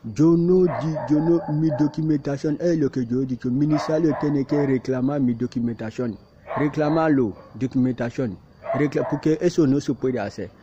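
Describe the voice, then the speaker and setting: A man speaking halting, repetitive second-language Spanish, insisting and defending himself in a self-recorded plea, outdoors in a field. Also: medium pitch. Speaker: migrant speaking to camera. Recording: muffled with clear background noise and barking dog.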